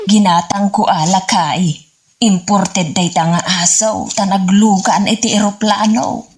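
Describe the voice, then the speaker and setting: A woman's voice: medium pitch, fairly even intonation, a little slow, a little mellow, natural voice. An actress with an Ilocano Filipino accent playing a matter-of-fact woman who owns a dog in a radio comedy drama.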